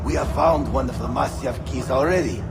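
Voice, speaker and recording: An actor playing an arrogant, taunting villainous captain in a cinematic video game, with theatrical delivery. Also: male voice, mid-range voice, normal speed, over loud music, natural voice. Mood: sly, grudging. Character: arrogant, sneering villainous Templar captain commanding soldiers.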